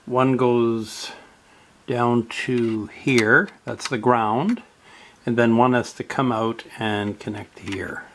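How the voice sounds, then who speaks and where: A man narrating a step-by-step radio repair in a halting way, with many fillers. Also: mid-range voice, fairly even intonation, fairly bright tone, a little hiss or hum.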